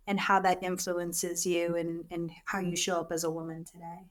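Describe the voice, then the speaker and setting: A woman's voice: lowish voice, completely flat delivery, normal speed. A woman hosting an interview, talking in a chatty, reflective way with many fillers. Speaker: host, leadership coach. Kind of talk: casual interview talk.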